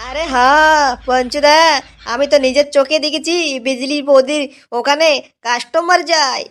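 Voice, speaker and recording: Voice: high voice, steady tone, moderate pace, fairly bright tone. A performer voicing a crude, easygoing male drinking buddy in a comedy cartoon, teasing and swearing casually. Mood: boozy, teasing.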